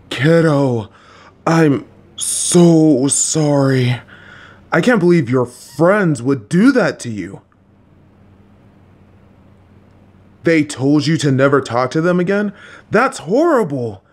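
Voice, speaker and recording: A man's voice: a little high, darkish tone, full character voice. A man voicing a patient, slightly clueless, supportive middle-aged father who coaxes and reassures his child, with jokey asides. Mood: upset, protective. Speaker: voice actor in an audio roleplay. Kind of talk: listener-directed audio roleplay.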